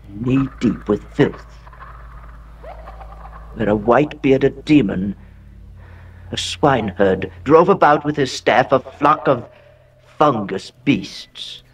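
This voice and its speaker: An actor playing a haunted, aristocratic heir who recounts Gothic horror in a theatrical, deliberate way with dramatic pauses. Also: male voice, medium pitch, distant mic, a little hiss or hum. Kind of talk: dramatic horror narration, audio drama monologue.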